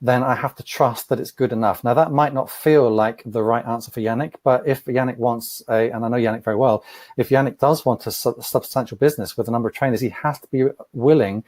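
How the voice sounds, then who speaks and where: A man answering interview questions as an entrepreneur, thoughtful and measured, often repeating a word as he starts. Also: mid-range voice, steady tone, a little mellow, no echo. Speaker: podcast guest, entrepreneur.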